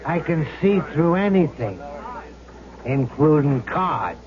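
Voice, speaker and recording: A man's voice: mid-range voice, lightly acted. An actor playing the vengeful, wisecracking ghost of a swindler in a radio drama, with cocky, slangy tough-guy talk. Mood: gloating, vengeful. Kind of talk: vintage radio drama acting. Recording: muffled old radio recording, crowd murmur behind.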